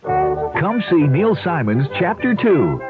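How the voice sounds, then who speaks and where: A man's voice: on the high side, big pitch swings, slow pace. An American man announcing a scripted radio commercial. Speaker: advertisement announcer. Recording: tinny radio with prominent music bed. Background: music.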